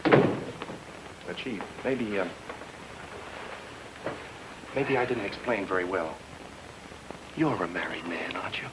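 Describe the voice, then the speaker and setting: An actor playing an excitable, wisecracking newlywed private detective in 1940s radio noir style. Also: male voice, low voice, takes it slowly, pauses often, noisy background. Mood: flustered pleading. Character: fast-talking newlywed private detective, flustered yet wisecracking. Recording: old radio drama, music underneath.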